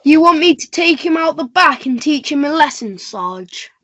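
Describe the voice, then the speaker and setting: A woman's voice: high-pitched, animated, moderate pace, a bit bright, dull audio. A woman playing a thuggish constable in a radio play, tough and threatening. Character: thuggish, aggressive constable itching for a fight.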